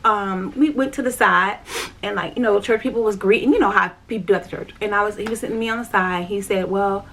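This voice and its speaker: Woman, chatty and repetitive, talks straight to her viewers, personal storytelling vlog, mid-pitched everyday voice. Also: fast, fairly bright tone, slight background noise. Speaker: vlogger.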